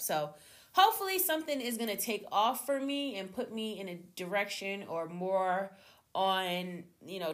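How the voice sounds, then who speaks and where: A young adult woman hosting her own podcast, talking casually and animatedly to her listeners, her voice slightly hoarse from a cold. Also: fairly low pitch. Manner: chatty, animated, addresses listeners as y'all. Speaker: podcast host. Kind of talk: casual solo podcast chat.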